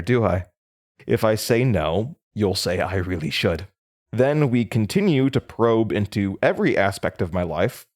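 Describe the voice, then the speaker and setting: An actor in an audio drama playing a troubled, haunted young man, bitter and defensive, stammering and sarcastic. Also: fairly low pitch. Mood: bitter sarcasm.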